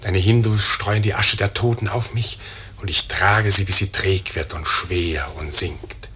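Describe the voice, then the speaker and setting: An actor voicing a dark, morbid river spirit in a radio play, raspy, forceful and ominous. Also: male voice, deep voice, fairly even intonation, measured pace, full character voice. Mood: grim.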